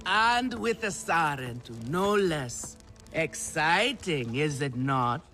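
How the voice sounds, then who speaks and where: A man's voice: on the high side, lively intonation, takes it slowly, sharp tone, faint music behind, full character voice. An actor playing a genial, theatrical alien clansman in a video game, effusive and proud, savoring his phrases. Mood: playfully excited. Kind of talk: video game character acting.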